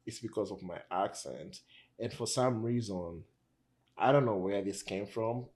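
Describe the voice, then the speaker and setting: Low-voiced Tanzanian man chatting. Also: unhurried. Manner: relaxed, repeats words while thinking. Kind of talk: casual conversation.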